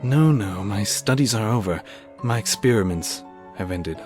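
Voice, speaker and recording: A man's voice: lowish voice, expressive, normal speed, over music, natural voice. A man playing a fervent, troubled young scientist in a melodramatic, theatrical radio drama style. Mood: elated, tender. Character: anguished, fervent young male scientist, recovering from madness. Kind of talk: melodramatic old-time radio acting.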